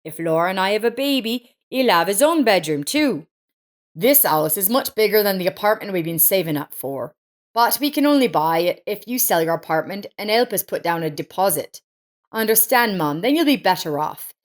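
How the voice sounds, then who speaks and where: A woman's voice: medium pitch, expressive, moderate pace, lightly acted. An earnest adult son from an audio story, read by a woman narrator. He is persuasive and coaxing as he makes his case.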